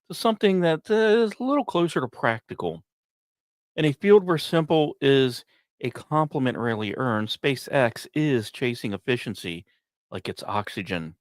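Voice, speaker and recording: A man hosting a podcast, reading scripted narration in a measured, dramatic storytelling way with occasional conversational asides. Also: medium pitch, dry recording, clean studio sound. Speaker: podcast host.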